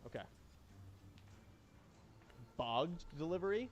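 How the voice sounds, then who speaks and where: A man streaming a game lifts his voice high and swoops it around as he jokes about item prices. His playful, mock-dramatic talk comes from a little further off the mic, and he is a little drunk. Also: big pitch swings, takes it slowly. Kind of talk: excited sing-song commentary on game prices.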